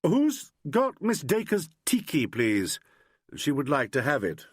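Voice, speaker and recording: A man voicing a courteous, persistent gentleman investigator in a novel, asking polite, clipped questions. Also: medium pitch, big pitch swings, fast, no room sound, studio quality. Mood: calling out.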